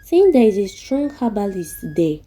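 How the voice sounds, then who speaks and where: Dark-voiced Nigerian woman telling a folktale. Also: mid-range voice, big pitch swings, moderate pace. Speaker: storyteller. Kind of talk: scripted folktale narration. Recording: music playing behind the voice. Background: background music.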